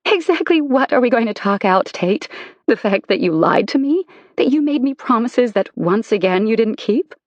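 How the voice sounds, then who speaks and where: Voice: medium pitch, husky, no echo, muffled recording. An audiobook narrator voicing a betrayed wife who is walking out on her husband, speaking in a cold, cutting, emphatic way. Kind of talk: audiobook character reading.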